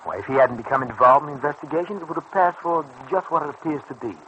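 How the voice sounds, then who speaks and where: An actor in an old radio serial playing a smooth, scheming bootlegging boss with formal, condescending politeness. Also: male voice, a little high, fast talker, a bit bright, lightly acted. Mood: persuasive. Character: smooth, scheming male bootlegging boss, coolly double-crossing. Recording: faintly noisy old radio recording.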